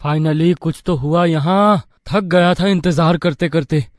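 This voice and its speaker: A man playing an excitable, self-assured young villager in an acted horror-comedy story. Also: a little high, measured pace, no echo, studio quality, close to an everyday voice. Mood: amazed.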